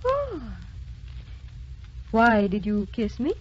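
An actress playing a gentle, secretive, youthful woman in old-time radio drama style. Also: on the high side, huge pitch swings, very slow, slightly rough, full character voice. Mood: coy, guarded. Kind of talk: 1930s-40s radio acting. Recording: muffled, noisy old radio recording.

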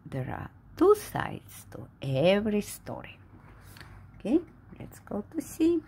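Very slow, expressive woman reading aloud. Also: medium pitch, slight background noise. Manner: slow, dramatic, expressive delivery with simple explanations. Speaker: picture-book reader. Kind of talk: read-aloud storytelling with asides to young listeners. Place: indoors at a table.

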